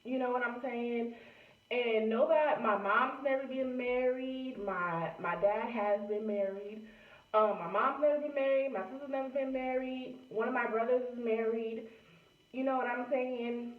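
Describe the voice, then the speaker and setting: A young woman in her late twenties chats to camera in a relaxed, rambling way, leaning on "you know" and speaking. Her voice sits fairly high. The sound is echoey and thin, like a phone recording in a room at home. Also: normal speed, distant mic, narrow, tinny audio. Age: late 20s. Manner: chatty, many fillers like "you know". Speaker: vlogger. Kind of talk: casual chat to camera.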